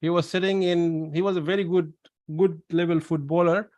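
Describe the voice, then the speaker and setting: A man's voice: a little high, little pitch movement, moderate pace, a little mellow. Chatty man hosting a webinar. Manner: chatty, repeats words, tells anecdotes. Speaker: webinar host, pharmacist. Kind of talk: casual webinar Q&A. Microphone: video call.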